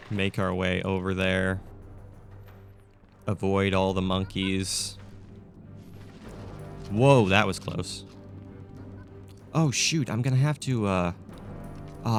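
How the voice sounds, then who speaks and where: A man with a scratchy, raspy throat, casually commentating a video game as he plays. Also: low-pitched. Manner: chatty, thinks aloud with fillers. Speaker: gameplay commentator. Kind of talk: casual let's-play commentary.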